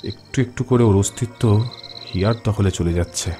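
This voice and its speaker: An actor playing a confident young paranormal investigator in an audio horror drama, explaining things gravely and deliberately. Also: male voice, on the low side, warm tone, faint music behind, natural voice. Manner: grave, deliberate explaining, mixes in English words.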